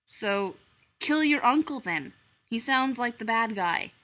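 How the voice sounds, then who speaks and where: Woman reader as an unimpressed male stranger; flat, dry retorts. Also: on the high side, takes it slowly. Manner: blunt, dry.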